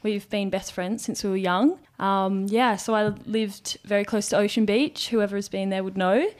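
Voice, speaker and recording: Brief: giggly, rambling young Australian woman, mid-pitched, easygoing musician guest. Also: fairly even intonation, moderate pace. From Western Australia. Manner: chatty, giggly, trails off with fillers. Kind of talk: casual interview chat.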